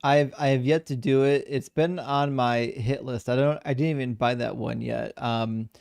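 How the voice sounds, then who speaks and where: A man hosting a live debate stream, reading out viewer messages in a relaxed, chatty way and laughing often. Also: medium pitch, normal speed, gravelly, smooth, dry recording, clean studio sound. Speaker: debate show host. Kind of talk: casual live-stream chat, reading super chats.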